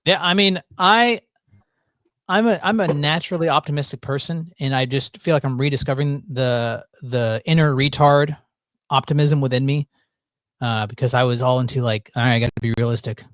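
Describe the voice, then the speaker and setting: A man's voice: mid-range voice, moderate pace, fairly bright tone, dry recording, clean studio sound. A man hosting a casual chat, rambling with stops and restarts and a joking tone. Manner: rambling, self-interrupting, jokey. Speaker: podcast host.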